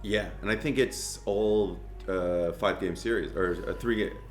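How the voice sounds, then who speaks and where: A man's voice: fairly low pitch, fairly even intonation, a little slow, echoey room, faint music behind. A man who plays hockey, chatting as a podcast guest in an easygoing, self-deprecating way. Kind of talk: casual chat.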